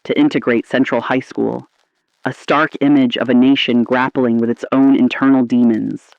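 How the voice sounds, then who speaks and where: A man playing an ominous, appalled 1950s radio anchor, delivering scripted lines in a grave, deliberate, theatrical style. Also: medium pitch, level tone, creaky, no room sound, lo-fi sound, natural voice. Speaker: radio host.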